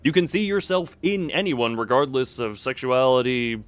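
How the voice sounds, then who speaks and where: A man livestreaming a game, chatting casually in a halting, rambling way as he thinks aloud. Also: medium pitch, lively intonation. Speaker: livestream host. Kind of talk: casual chat while playing a horror game live. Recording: clear background noise.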